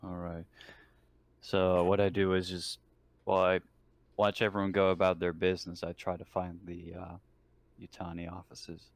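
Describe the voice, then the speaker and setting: A man playing a tabletop game, describing his moves casually in a tentative, halting way. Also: sits low, completely flat delivery, no echo. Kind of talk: casual chat during a game.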